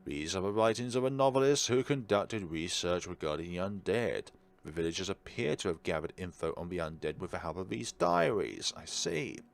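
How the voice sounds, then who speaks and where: Low-voiced man narrating with lively, swinging pitch. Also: normal speed, gravelly. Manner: dramatic read-aloud mixed with casual asides. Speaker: gaming video host. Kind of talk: let's play, reading game text and commenting.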